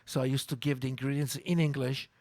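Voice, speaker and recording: A man with an Italian accent, a native Italian speaker, talking in a casual interview. He tells lively stories, repeats words and stops to search for English words. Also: mid-range voice, fast talker, gravelly, no room sound. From Italy. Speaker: guest.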